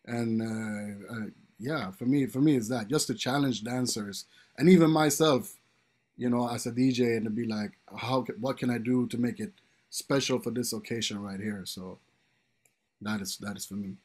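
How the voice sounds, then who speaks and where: A relaxed male DJ chatting easily on a panel, his voice sitting at an ordinary middle pitch. He tends to let the ends of his thoughts drop away into a soft murmur. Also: moderate pace. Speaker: DJ guest. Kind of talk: casual panel chat.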